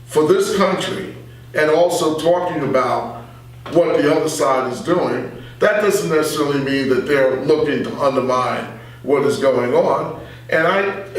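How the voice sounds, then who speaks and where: A middle-aged man speaking as an interview guest, conversational but earnest, in a halting way with repeated phrases. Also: a little high. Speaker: radio journalist interview guest. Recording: very echoey room, far from the mic.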